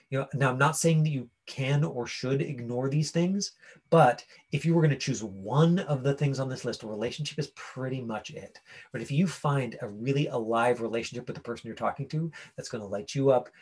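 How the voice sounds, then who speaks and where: Male acting coach, mid-range husky voice, informal and halting, explains off the cuff. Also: mid-range voice. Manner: halting, rambling explanations full of tangents. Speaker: acting coach teaching a class.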